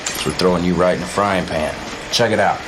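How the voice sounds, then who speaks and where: A swaggering militant officer briefs a commander in a game cutscene. His voice is deep, his talk casual, slangy and brotherly. Clear noise and vehicle sound run behind him. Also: steady tone, brisk. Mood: brisk, cocky. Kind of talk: conversational video game mission briefing acting.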